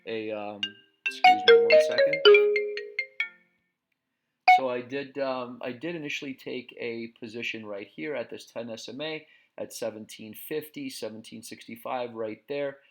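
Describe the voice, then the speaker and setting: A man hosting a casual stock market recap, talking in a relaxed way with many fillers and restarts, and losing his voice. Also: mid-range voice, moderate pace, music in the background.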